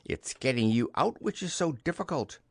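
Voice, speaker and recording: A man voicing a kind, calm, practical young storybook boy with a gentle, reassuring manner. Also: fairly high pitch, moderate pace, no room sound, pitched above the performer's own voice.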